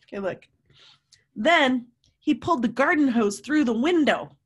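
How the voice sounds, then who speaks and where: A woman in her fifties reading a story aloud to young children, with animated, drawn-out emphasis and questions to her listeners. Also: high voice, breathy edge. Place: room at home.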